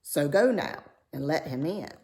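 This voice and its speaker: A woman's voice: deep voice, lively intonation, unhurried, vocal fry, a little mellow, heavily acted. Woman putting on a stern, commanding old king. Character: stern older male king, commanding father. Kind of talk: storybook read-aloud character voice.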